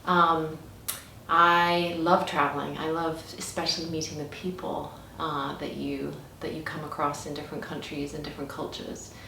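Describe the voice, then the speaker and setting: A middle-aged English woman telling travel stories in a chatty, animated way with many restarts. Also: low-pitched, normal speed, vocal fry. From England. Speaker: podcast guest. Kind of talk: casual conversational storytelling. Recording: roomy, distant mic with faint background noise.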